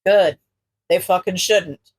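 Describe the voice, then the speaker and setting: A woman voicing a gruff, crass male superhero in a story, blunt and casual. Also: fairly low pitch, slow, pitched below the performer's own voice. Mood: smug.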